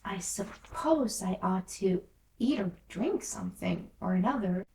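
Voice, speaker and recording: Woman as little storybook girl, polite, earnest, puzzled, slow pace, roomy echo. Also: mid-range voice, animated, creaky, warm tone. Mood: musing. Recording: roomy echo, distant from mic.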